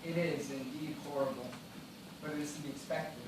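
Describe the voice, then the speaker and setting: A man acting a shipwrecked gentleman in a stage play, resigned and bitter, speaking in a formal, measured, theatrical way. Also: fairly high pitch, fairly even intonation, natural voice. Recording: distant, echoey, noisy live theatre recording.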